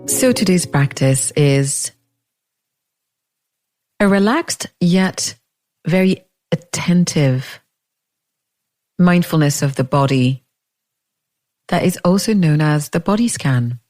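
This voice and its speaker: A woman leading a guided meditation in a gentle, unhurried way, leaving long pauses between phrases. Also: deep voice, lively intonation.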